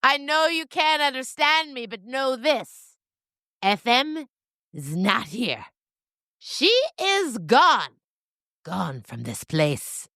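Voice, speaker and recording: A high-pitched, tipsy nanny goat from a comic audio story, acted out by a woman. The delivery is bleating, defiant and theatrical, swinging widely in pitch and taking its time over each grand declaration. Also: sing-song, slow, bright, forceful tone, no room sound, clean studio sound, full character voice. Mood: grandiose, rambling. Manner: bleating, declamatory drunken speech.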